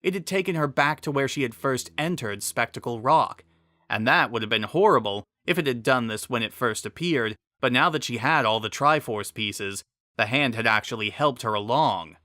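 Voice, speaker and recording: Bright-voiced man narrating dramatically. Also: mid-range voice, normal speed, dry recording, clean studio sound. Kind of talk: dramatic scripted story narration.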